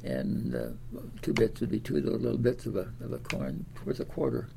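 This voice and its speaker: Gravelly ninety-year-old Midwestern American man. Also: medium pitch, very animated, background noise. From Des Moines, Iowa, United States. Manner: rambling, halting recollection with repeated words. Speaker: interviewee, World War Two veteran. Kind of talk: oral history reminiscence.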